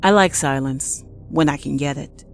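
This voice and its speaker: A woman playing a solitary, unflappable night nurse, narrating an audio drama in a measured, confiding way. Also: low voice, slight background noise. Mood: calm, brooding. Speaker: actress, narrator. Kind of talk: first-person scripted audio drama monologue.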